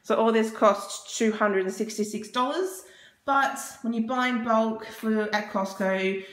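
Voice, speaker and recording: A woman's voice: a little high, measured pace. A young Australian woman chatting casually through a grocery haul, rambling with lots of ums, filmed on a phone at home. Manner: chatty, many ums, rambling price tallies. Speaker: vlogger. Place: home kitchen, indoors. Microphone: phone camera held over the counter. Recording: echoey room with loud background noise. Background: husband and dog heard.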